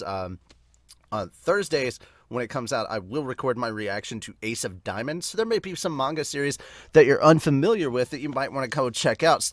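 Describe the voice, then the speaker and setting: A man's voice: mid-range voice, dry recording. A man who hosts a podcast, talking casually to viewers in a chatty, rambling, self-correcting way. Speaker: podcast host and amateur manga dubber. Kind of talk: casual unscripted talk to viewers.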